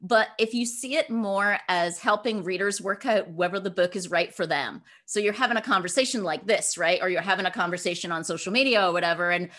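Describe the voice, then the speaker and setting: Middle-aged woman, author guest, conversational, animated delivery, medium pitch. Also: a little fast, few pauses. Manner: animated, acts out imagined quotes. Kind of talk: conversational interview answers.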